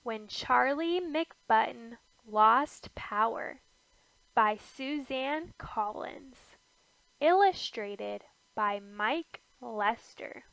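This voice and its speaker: A woman reads a rhyming picture book aloud in a higher-pitched voice with noticeable fry. She takes it extremely slowly, setting out each short phrase with care. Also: lively intonation, a bit bright, no room sound, rough recording. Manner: deliberate phrasing with pauses between short phrases. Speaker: storybook reader.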